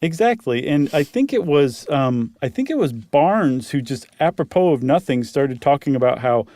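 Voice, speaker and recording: A man's voice: mid-range voice, sing-song, moderate pace, dark tone, dry recording. A man co-hosting a podcast in casual, joking banter. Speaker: podcast co-host.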